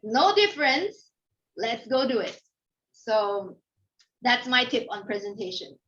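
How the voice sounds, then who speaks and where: High-voiced Filipino woman, dull lo-fi sound. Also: slow. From Philippines (Manila). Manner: animated storytelling, acts out inner thoughts and quoted remarks. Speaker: guest speaker, company founder. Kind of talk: informal motivational talk with personal anecdotes. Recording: dull, lo-fi audio.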